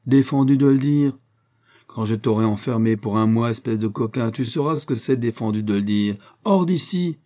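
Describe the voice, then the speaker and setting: A man voicing a blustering, bossy old policeman with a hoarse voice in a storybook reading. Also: lowish voice, steady tone, brisk, hardly any pauses, lightly acted. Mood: scolding, threatening. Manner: shouting, threatening.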